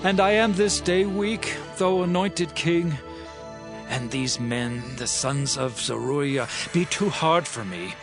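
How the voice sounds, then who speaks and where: A man's voice: fairly high pitch, slow pace. A man voicing King David in a dramatized scripture recording, lamenting in a solemn, anguished way, sometimes half-singing. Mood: grave. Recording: music bed under voice.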